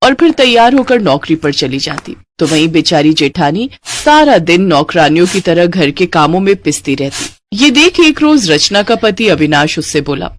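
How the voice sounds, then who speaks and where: A woman's voice: fairly low pitch, big pitch swings, moderate pace, slight background noise. A woman narrating a scripted cartoon story as the storyteller.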